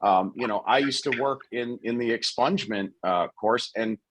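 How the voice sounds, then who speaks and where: A man answers forum questions over a video call, his voice dry and close with no room sound. He talks in a relaxed, rambling way, leaning on you-knows and I-means. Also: medium pitch, moderate pace, no echo, rough recording. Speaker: lawyer, candidate.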